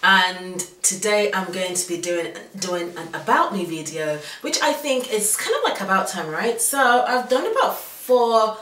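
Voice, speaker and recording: A woman's voice: mid-range voice, expressive, unhurried, hardly any pauses, fairly bright tone. A woman in her thirties from Nigeria with a slight Yoruba accent, chatting casually to camera as a fashion blogger, rambling with frequent ums and restarts. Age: 30s. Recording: roomy sound from far off.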